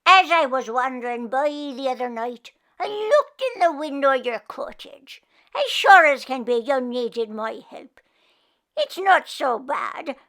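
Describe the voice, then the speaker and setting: A woman voicing a weary male pooka, a once-lazy servant spirit in a storybook, with a drawn-out, plaintive delivery. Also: high-pitched, a bit bright, full character voice.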